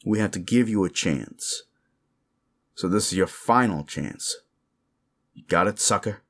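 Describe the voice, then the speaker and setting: A man's voice: sits low, animated, measured pace, gravelly, fairly bright tone, heavily acted. A man voicing a sleazy, bullying werewolf boss in an audio roleplay, crude, condescending and threatening. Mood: menacing, contemptuous. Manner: menacing, crude, taunting, condescending. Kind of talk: roleplay audio drama monologue.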